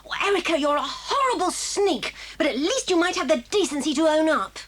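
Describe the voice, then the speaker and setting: High, righteous, scolding schoolgirl. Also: big pitch swings, fairly quick, a bit bright. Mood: indignant, scolding. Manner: scolding, emphatic. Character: indignant, righteous, plucky schoolgirl. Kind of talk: 1980s audio drama acting.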